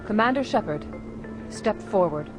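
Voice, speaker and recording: A woman's voice: mid-range voice, lively intonation, normal speed, over music, lightly acted. A woman playing a composed female alien councilor in a video game, speaking formally and solemnly. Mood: solemn, ceremonial. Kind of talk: scripted game cutscene acting.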